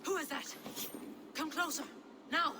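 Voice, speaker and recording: A woman's voice: high-pitched, unhurried. An actress playing a guarded, resolute Norse woman in a video game, speaking with earnest urgency. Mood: alarmed, suspicious. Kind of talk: dramatic video game acting.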